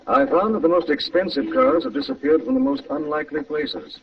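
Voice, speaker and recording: A muffled old radio recording with faint noise presents a gentleman detective. He speaks politely and precisely, his dramatic voice sweeping widely in pitch. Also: sing-song, brisk, creaky, recorded from a distance, exaggerated voice. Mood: composed. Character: earnest, composed gentleman private investigator.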